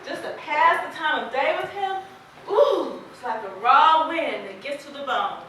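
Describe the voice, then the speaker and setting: Woman acting high, echoey, plain-spoken farm wife. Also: expressive, unhurried, fairly bright tone. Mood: wistful. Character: plain-spoken, regretful farm wife, protective of an absent neighbor. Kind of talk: stage play acting, early 1900s rural American drama. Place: stage performance recorded from audience distance. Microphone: distant camera. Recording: echoey hall from far off, noisy.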